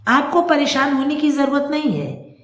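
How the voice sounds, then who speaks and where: Woman voicing a wounded, resolute son in lively high pitch. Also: moderate pace, reverberant. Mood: sarcastic, defiant. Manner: earnest, sorrowful declarations. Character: wounded, remorseful adult son, proud and resolute.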